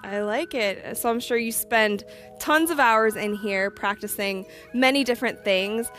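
High-voiced friendly sports interviewer. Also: female voice, measured pace, a bit bright, faint music behind. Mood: upbeat. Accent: American. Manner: polite, upbeat, chatty presenting. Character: friendly, chirpy young female TV sports interviewer. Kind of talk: video game interview cutscene.

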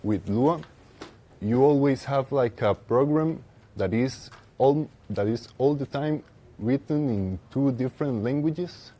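A young man with a Costa Rican Spanish accent giving a technical presentation as a conference speaker, haltingly, with pauses mid-phrase. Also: mid-range voice, mellow. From Costa Rica. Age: young adult. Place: lecture room with seated audience, indoors.

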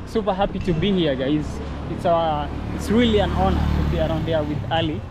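Young guy vlogging on a town walk, highish voice, street traffic all around, keeps repeating himself. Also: a little fast. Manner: excitable, repeats phrases, addresses viewers. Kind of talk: walking tour vlog. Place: outdoors on a busy town street. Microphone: camera held at arm's length. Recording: street traffic noise behind. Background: traffic and street noise.

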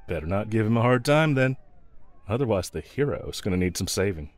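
A man's voice: lowish voice, animated, fairly quick, close to an everyday voice. A man playing a snarky, pragmatic yet protective mercenary escort in a fantasy audio roleplay, talking to the listener in a wry, teasing, laid-back way.

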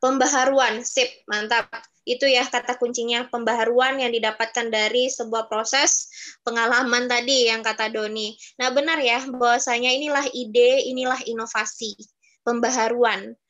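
A woman's voice: fairly high pitch, fairly even intonation, moderate pace, a bit bright, highs cut off. A woman in her twenties teaching students in a casual, chatty way over a video call. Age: 20s. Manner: chatty, addresses students as younger siblings. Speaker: webinar speaker.

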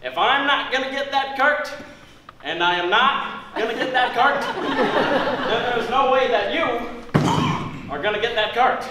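A young man playing a boisterous, boastful store cart hunter in an amateur stage comedy, loud and theatrical. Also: very high voice, measured pace, pauses often. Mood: dismissive. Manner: loud, theatrical whooping and bragging. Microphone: distant camera. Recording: echoey stage recorded from far off, faint noise.

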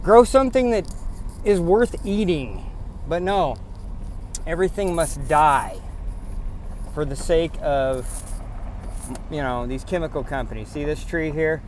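A man's voice: on the high side, expressive, measured pace, pauses often, darkish tone, slight background noise. A man vlogging while driving around rural farmland, talking in an indignant, rambling way and repeating himself. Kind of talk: casual rant to camera. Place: in a pickup truck on farm roads, then outdoors on rural land.

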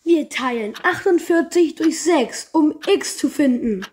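A woman's voice: high-pitched, animated, measured pace, darkish tone. A woman voicing a cocky teasing schoolboy. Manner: teasing, boastful. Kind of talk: children's audio drama.

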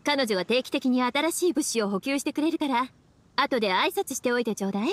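Sultry witch librarian, languid big-sister teasing, fairly high voice. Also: animated, fairly quick, slight background noise. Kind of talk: Japanese game dub.

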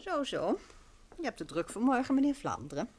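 An actress playing a detective's teasing, inquisitive wife in an old radio play, wry and intimate. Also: female voice, fairly high pitch, big pitch swings, brisk, a little mellow, slightly rough.

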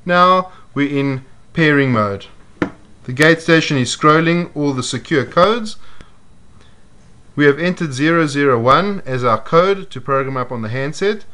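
A man giving a measured, step-by-step walkthrough of programming a device, voiced over close-up footage. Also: mid-range voice, background noise. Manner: measured, methodical explaining. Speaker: product demonstrator. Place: indoors at a table.

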